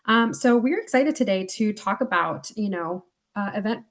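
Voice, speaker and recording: A woman's voice: mid-range voice, animated, moderate pace. A woman in her thirties speaking on a webinar over a video call, chatty and upbeat, with frequent fillers. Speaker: marketing communications specialist. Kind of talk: webinar presentation.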